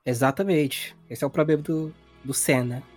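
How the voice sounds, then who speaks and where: Higher-pitched Brazilian man narrating as game master. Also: moderate pace, soft background music. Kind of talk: narrating a tabletop role-playing game.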